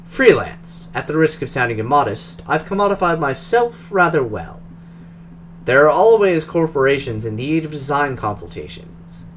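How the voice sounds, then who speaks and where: A man's voice: fairly high pitch, sing-song, slight background noise. A man putting on a formal Russian drone engineer. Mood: measured. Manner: formal, measured, precise. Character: Russian male drone engineer, polished, guarded.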